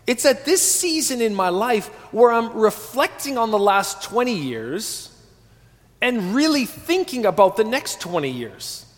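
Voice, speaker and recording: A man's voice: high voice, long reverb tail. A man in his forties preaching an earnest sermon as pastor, calling on the congregation to answer. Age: 40s. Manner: earnest, calls for congregation responses.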